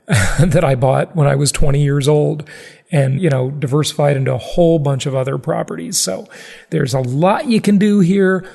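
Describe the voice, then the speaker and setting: A man's voice: fairly high pitch, gravelly, darkish tone. A man hosting a podcast in a casual, conversational way, rambling a little and repeating phrases for emphasis. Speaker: podcast host. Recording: reverberant hall-like echo.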